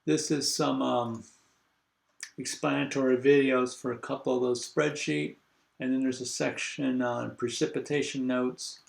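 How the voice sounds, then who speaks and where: An older man lecturing a university class over a video call, congested, halting and full of fillers as he thinks aloud. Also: mid-range voice, flat delivery, gravelly, reverberant.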